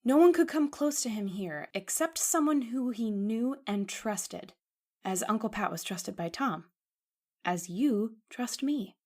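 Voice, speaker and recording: A woman's voice: fairly high pitch, animated, unhurried, a little mellow. A woman playing an anxious, devoted young woman in an old-time radio horror drama, earnest and melodramatic. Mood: uneasy, pleading.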